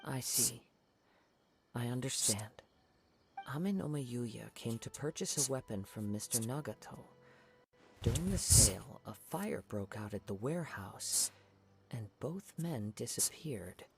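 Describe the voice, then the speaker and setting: A man voicing a composed young wandering samurai in a game, polite and measured. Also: medium pitch, expressive, vocal fry. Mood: calm. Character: calm young male wandering samurai investigator. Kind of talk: game voice acting.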